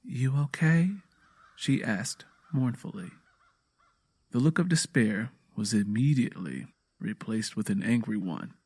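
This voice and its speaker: A man's voice: on the low side, lively intonation, unhurried, darkish tone, husky. A man narrating a scripted audio drama in a storytelling style.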